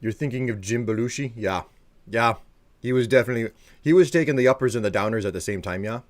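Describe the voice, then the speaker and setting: A man's voice: fairly low pitch, dry recording. A man chatting casually like a streamer to viewers, in a rambling, joking way, playing around with accents. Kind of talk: casual livestream chat, answering viewers.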